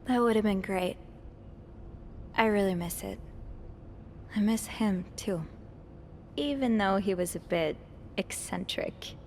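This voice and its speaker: Young hotel maid, woman, sweet, earnest, softly hesitant, medium pitch, natural game dialogue, faint background rumble. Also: slow pace, long pauses. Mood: wistful. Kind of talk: naturalistic video game acting.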